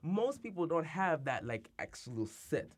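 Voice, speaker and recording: A man's voice: a little high, very animated, unhurried, husky. A young man on a podcast as a guest, chatting casually and encouragingly, with many murmured agreements. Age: young adult. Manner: encouraging, lots of "mm" and "okay".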